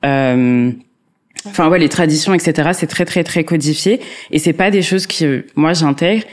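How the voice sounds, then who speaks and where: A woman's voice: sits low, moderate pace. A young woman in casual conversation, talking with many fillers and restarts. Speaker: co-host. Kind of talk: casual chat.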